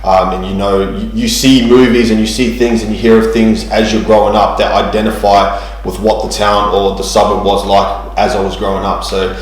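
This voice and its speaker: A man tells his story slowly, full of ums and restarts. His voice sits a little low. It rings through a very echoey room. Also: level tone. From New Zealand. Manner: hesitant, many ums and restarts. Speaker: guest sharing testimony. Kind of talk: church testimony interview.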